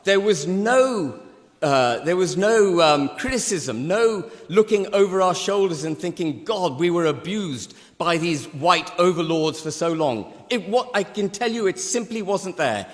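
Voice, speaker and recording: A man in his sixties, from Pakistan, giving a forceful, rhetorical debate speech with emphatic repetition. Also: high voice, lively intonation, a little slow. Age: 60s. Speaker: debate speaker. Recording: long hall reverb.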